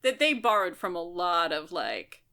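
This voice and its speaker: A woman's voice: on the low side, big pitch swings, measured pace, gravelly, bright, forceful tone. A woman co-hosting a podcast in casual chat, rambling on enthusiastically and piling detail onto detail. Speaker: podcast co-host.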